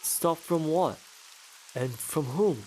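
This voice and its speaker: A man's voice: fairly high pitch, lively intonation, takes it slowly, a little mellow, slight background noise, voice pushed up, rough recording. A man voicing a blunt, inquisitive young woman witcher in a game. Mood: questioning. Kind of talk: voicing game dialogue read from screen.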